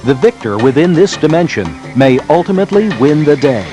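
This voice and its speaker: A man's voice: a little high, animated, brisk. Male military documentary narrator over music. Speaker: narrator of a military training film. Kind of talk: formal scripted documentary narration. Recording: 1990s training film soundtrack. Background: background music.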